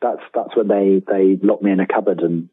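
A man's voice: low-pitched, fairly even intonation, fairly quick, breathy edge, no echo. A man chatting casually as an interview guest, hesitant and wryly self-deprecating, over a tinny remote call. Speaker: guest, writer of books and audio dramas. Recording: tinny phone-like line.

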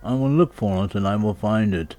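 A man's voice: fairly low pitch, a little fast, warm tone, soft background music, close to an everyday voice. A man voicing a grand royal butler in a storybook, formal, stately and deferential. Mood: haughty. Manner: stately, formal, self-important.

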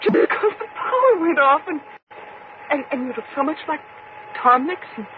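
A woman's voice: high voice, sing-song. An actress playing a terrified, guilt-ridden, pleading young woman who has killed, in breathless 1950s radio acting style. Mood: relieved, apologetic. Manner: overwrought, breathless, halting. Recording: noisy phone-like 1950s radio recording.

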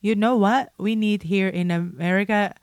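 A woman with a Japanese accent, co-hosting a casual chat show, playful and quick to laugh. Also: medium pitch, fairly even intonation, a little mellow, no room sound, studio quality. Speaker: podcast co-host.

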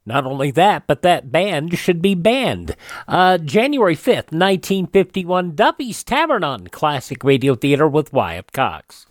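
A man's voice: on the high side, big pitch swings, a little slow, no room sound, clean studio sound. A man with an American accent presenting a classic radio program in a scripted host style.

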